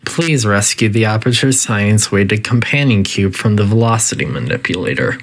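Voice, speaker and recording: A man's voice: lowish voice, lively intonation, normal speed. A man voicing a coolly polite, faintly menacing facility AI that gives formal, detached test-chamber announcements in a video game. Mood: clinical, detached.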